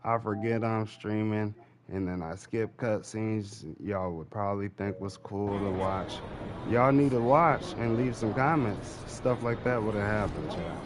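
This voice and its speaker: Male game streamer, lowish voice, slangy casual chat, repetitive phrasing, clear background noise. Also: unhurried. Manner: slangy, informal, repeating himself. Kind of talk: casual gaming livestream chat.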